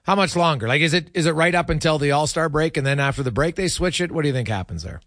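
A man's voice: a little high, fast, fairly bright tone, no room sound, clean studio sound. A man hosting a sports talk show, speaking casually and analytically. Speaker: sports radio host.

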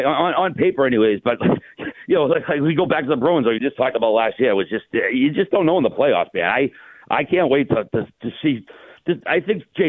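A man chatting casually as a hockey analyst guest, colloquial, with halting, repeated phrasing, over a tinny phone-like line. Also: medium pitch, dry recording. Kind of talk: casual sports chat.

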